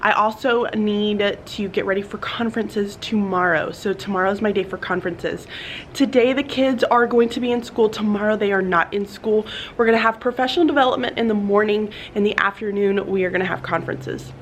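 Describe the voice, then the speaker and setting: A woman's voice: medium pitch, a little fast, a little hiss or hum. A young woman teacher talking casually to her handheld camera in a classroom, upbeat and chatty, her voice a bit stuffy from sinuses. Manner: chatty, upbeat, says "y'all" and "like" often. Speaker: elementary school teacher. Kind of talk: casual vlog talk to camera. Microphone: handheld camera, no tripod.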